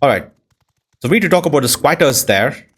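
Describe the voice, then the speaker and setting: A man's voice: a little high, expressive, brisk, fairly bright tone, breathy edge. A man giving casual game commentary, musing aloud and exclaiming at the sights. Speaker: let's player and show host.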